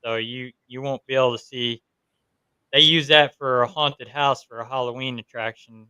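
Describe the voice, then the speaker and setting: Bright, crisp-voiced man from New York. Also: medium pitch, steady tone, moderate pace, low-quality recording. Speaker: podcast host. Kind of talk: casual interview chat.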